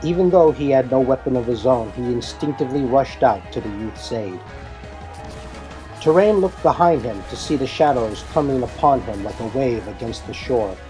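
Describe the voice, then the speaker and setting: A man's voice: mid-range voice, lively intonation, normal speed, mellow, poor audio. A man delivering scripted, dramatic narration for an audio drama. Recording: music bed behind the voice.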